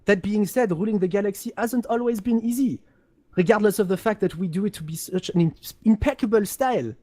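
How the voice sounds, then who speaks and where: A man acts out a haughty, highborn gentleman of a galactic empire, pitched high and recorded close and dry. He is condescending and pompous, picking his words haltingly in a game lore role-play. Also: dry recording. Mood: proud.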